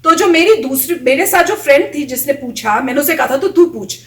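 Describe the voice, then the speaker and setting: A chatty woman with a high voice tells anecdotes in a casual vlog and mimics what others said. She sits a little back from the camera mic, and the room around her rings with echo. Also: expressive, a little fast, recorded from a distance. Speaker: vlogger reacting to a video. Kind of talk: casual storytelling chat.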